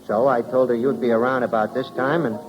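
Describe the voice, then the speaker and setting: A man's voice: medium pitch, fairly even intonation, brisk, lo-fi sound, music in the background, close to an everyday voice. A man playing a friendly, gabby café bartender in an old radio drama, earnest and naive, with folksy, ungrammatical slang. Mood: defensive, gabby. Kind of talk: 1940s radio drama acting. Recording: old radio broadcast recording.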